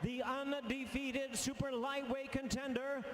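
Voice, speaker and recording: A man announcing fighters in a drawn-out, booming ring announcer style. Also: little pitch movement, reverberant, slight background noise.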